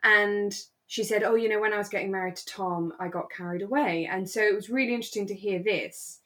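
Woman, medium pitch, podcast co-host, casual conversational chat. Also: brisk, fairly bright tone. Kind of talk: casual chat about a radio drama.

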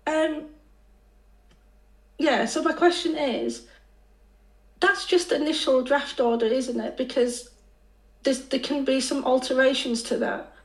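A woman calling in with a croaky voice after being ill, speaking haltingly with many pauses. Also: high-pitched. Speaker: caller. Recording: echoey call audio.

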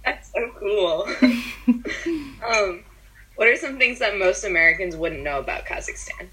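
A young woman hosting a podcast, asking interview questions in a slow, easygoing way. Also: mid-range voice, lively intonation, pushed and bright, a little hiss or hum, poor audio. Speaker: podcast host.

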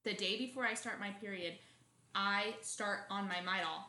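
A woman's voice: medium pitch, fairly even intonation, moderate pace, pushed and bright, roomy sound. A young woman, co-hosting a casual podcast and telling dramatic stories with lots of laughter. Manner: chatty, dramatic storytelling with frequent laughs. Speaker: podcast co-host. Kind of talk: casual chat.

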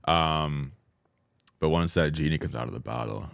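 A man's voice: rumbling low, lively intonation, normal speed, creaky, no room sound, clean studio sound. An American man in casual podcast chat, arguing his points in a wry, rambling way. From United States. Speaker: podcast co-host. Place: podcast studio.

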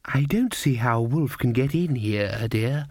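A man voicing a simple, kindly old grandmother with a gentle, naive way of talking. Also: medium pitch, animated, a little slow, no echo, clean studio sound.

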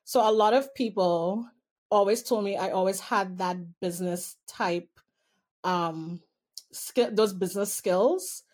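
A woman's voice: medium pitch, slow pace, darkish tone. Woman with a Trinidadian accent. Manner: candid, amused, stretches key words. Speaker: guest. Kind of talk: personal storytelling in an interview.

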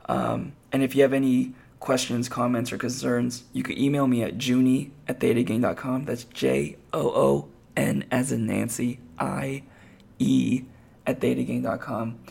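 A man with a hoarse, raspy voice, hosting a podcast and chatting casually on his own, rambling with lots of fillers and restarts. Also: medium pitch, flat delivery, measured pace, a little mellow. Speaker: podcast host. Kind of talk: casual solo podcast talk.

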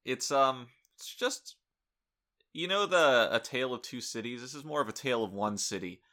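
A young man co-hosting a podcast, making dry deadpan jokes in casual chat and restarting his sentences often. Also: medium pitch, moderate pace. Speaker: podcast co-host.

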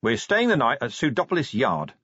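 A man's voice: medium pitch, huge pitch swings, a little fast, a bit bright, breathy edge, no echo, dull audio, voice pushed up, exaggerated voice. A man voicing a blunt, decisive and wry police commander in an audiobook story. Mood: firm, decisive.

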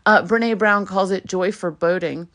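A middle-aged woman hosting her own solo podcast, talking earnestly and reflectively, getting animated when she acts out what people say. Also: medium pitch, normal speed. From United States. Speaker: podcast host.

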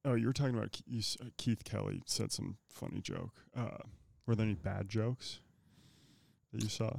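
A man co-hosting a casual podcast chat, teasing with dry jokes and quick questions. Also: fairly low pitch, steady tone, gravelly, darkish tone, no echo. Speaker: podcast co-host.

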